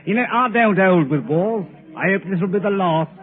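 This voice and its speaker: Young English man played by an actor, working-class accent, high pitch, opinionated, chatty, old radio drama, tinny recording. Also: moderate pace, close to an everyday voice.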